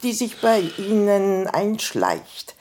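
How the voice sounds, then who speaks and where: A woman's voice: mid-range voice, very animated, slow pace, a little mellow. A woman playing a haughty elderly madame who rambles theatrically about her past in a radio play. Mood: wistful recounting. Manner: rambling, theatrical, breaking off into gasps and giggles.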